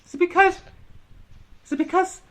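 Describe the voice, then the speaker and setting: A man's voice: steady tone, normal speed, a bit bright. A man voicing a needy, whiny young boy who keeps calling for his dad. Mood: whiny pleading. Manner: shrill pleading repeated calls.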